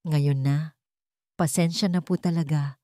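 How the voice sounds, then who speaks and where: A woman's voice: sits low, fairly even intonation, unhurried, mellow, dry recording, clean studio sound. A woman with a Filipino accent voicing an anxious, pleading young mother in an audio love story. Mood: hurried, apologetic. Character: frightened, apologetic young mother guarding her secret.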